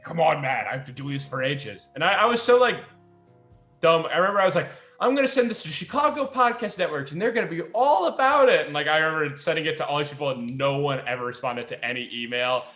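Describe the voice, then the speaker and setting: A young adult man, a podcast host and writer, chatting casually in an animated, rambling, self-deprecating way. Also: fairly high pitch, quick pace, reverberant, faint music behind. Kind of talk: casual chat.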